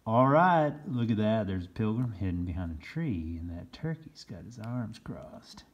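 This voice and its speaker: A man reading a children's storybook aloud in an animated narrating style. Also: lowish voice, normal speed, breathy edge, lo-fi sound. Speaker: storybook reader.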